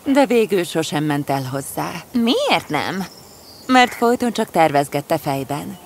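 A woman voicing young female fairy tale characters, expressive and earnest. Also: on the low side, a little hiss or hum. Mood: earnest, exasperated. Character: women in a fairy tale: eager schemer, resigned friend. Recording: faint music under the voice.

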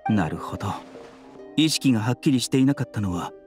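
A man playing a gentle young physician in an anime game, composed, polite and formal in his measured delivery. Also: on the low side, expressive, lightly acted. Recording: background music under voice.

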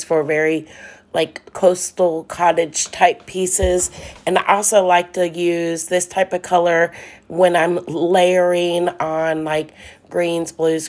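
A middle-aged woman narrating her furniture painting in an unhurried, thoughtful way, with frequent ums and restarts. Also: fairly low pitch. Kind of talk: relaxed voice-over narration.

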